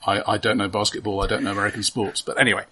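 Bloke talking through a film, bit raspy, halting and measured, explaining stuff casually. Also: male voice, fairly low pitch, flat delivery, sharp tone. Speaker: film discussion podcast co-host. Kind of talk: casual film analysis chat.

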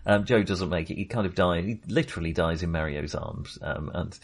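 A man's voice: low-pitched, a little fast, vocal fry, husky. A man co-hosting a podcast, talking casually about films, with many restarts and hesitations. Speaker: podcast co-host. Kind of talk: casual film discussion.

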